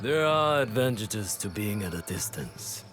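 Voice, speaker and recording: An actor playing a shrewd strategist who explains tactics in a measured, lecturing way. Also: male voice, on the low side, sing-song, music in the background. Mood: knowing. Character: shrewd older male strategist, calm and knowing.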